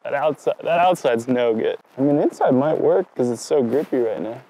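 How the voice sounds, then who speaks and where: A man with a mid-pitched voice talks plainly and matter-of-factly about the race track. He thinks aloud as he goes, weighing one option against another. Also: lively intonation, brisk, noisy background. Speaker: downhill racer. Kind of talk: casual chat.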